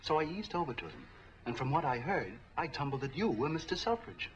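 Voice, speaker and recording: Fast, animated streetwise peddler man. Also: on the high side, muffled recording. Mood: urgent, confiding. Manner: chatty, slangy, obliging. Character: eager, friendly, streetwise male street peddler. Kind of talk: 1940s film acting. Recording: faintly noisy 1940s film soundtrack.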